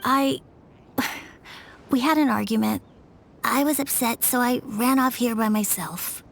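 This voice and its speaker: A woman voicing a shy, polite little boy in an animated video game, sensitive and hesitant in the way of speaking. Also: fairly high pitch, unhurried, vocal fry. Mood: subdued. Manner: hesitant, soft-spoken stammering.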